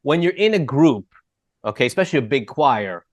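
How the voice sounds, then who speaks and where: A man's voice: medium pitch, lively intonation, a little fast, dry recording, studio quality. A man hosting an interview, asking curious, prompting questions about singing. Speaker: host.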